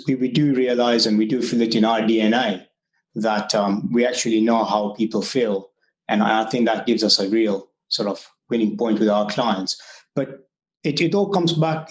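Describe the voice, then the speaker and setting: A man's voice: medium pitch, roomy sound, rough recording. A young man from Kenya with a mixed Kenyan and British accent, speaking earnestly in an interview, often restarting his sentences. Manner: earnest, many restarts and fillers. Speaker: immigration consultant. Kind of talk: conversational interview.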